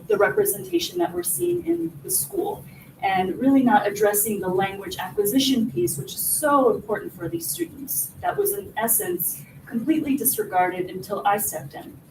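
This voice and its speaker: A woman in her forties from Peru, raised in the US, presents her research in an earnest, explanatory way, her voice pitched slightly high. The recording comes from a roomy conference hall. The mic sits well away from her, and steady background noise runs under her speech. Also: far from the mic. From born in Peru, raised in the US. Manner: earnest, explanatory, builds points step by step. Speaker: assistant professor presenting research.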